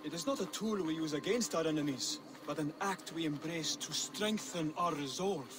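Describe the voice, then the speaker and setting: An actor playing an earnest young assassin mentor in a video game, speaking in measured, formal, instructive phrases. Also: male voice, a little high, noisy background, close to an everyday voice. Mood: calm, teaching. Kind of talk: video game cutscene acting.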